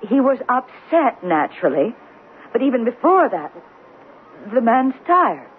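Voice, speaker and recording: Casting: a prim, hesitant secretary for vintage radio drama, expressive pitch, tinny recording. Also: female voice, a little slow, slight background noise. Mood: uneasy. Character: earnest, flustered female secretary, easily affronted. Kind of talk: 1970s radio drama acting.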